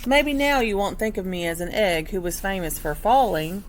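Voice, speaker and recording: A woman's voice: mid-range voice, expressive, normal speed, a little hiss or hum, low-quality recording. A woman teacher reading a picture book aloud to children, expressively, with instructive asides and questions for young listeners.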